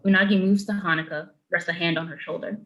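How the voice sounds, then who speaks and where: Bright, low-voiced woman narrator. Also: steady tone, quick pace, highs cut off. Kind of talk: reading screenplay stage directions.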